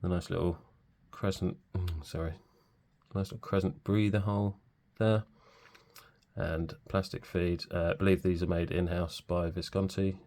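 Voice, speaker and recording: Male pen reviewer in his forties, very low and gravelly, congested from a cold, unhurried and full of ums. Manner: relaxed, hesitant, many fillers. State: stuffy from a cold. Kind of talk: casual product review.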